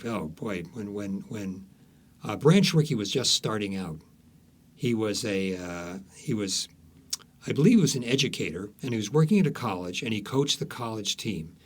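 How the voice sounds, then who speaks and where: Low-pitched man, halting conversational storyteller. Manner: halting, searching for words, emphatic repetition. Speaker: author, interview guest.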